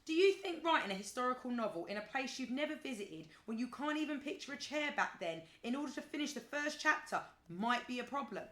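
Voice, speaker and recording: An actress playing an exasperated, sarcastic, sweary office colleague in an audio drama, wordy and withering. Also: female voice, high-pitched, big pitch swings, a little fast, few pauses, a bit bright, echoey room. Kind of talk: naturalistic audio drama acting.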